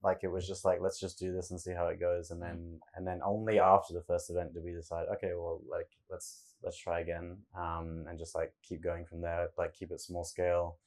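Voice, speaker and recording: A man from Singapore, a festival co-founder, chatting in an animated way full of "like" fillers. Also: deep voice, a little fast, hardly any pauses. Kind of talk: casual interview chat.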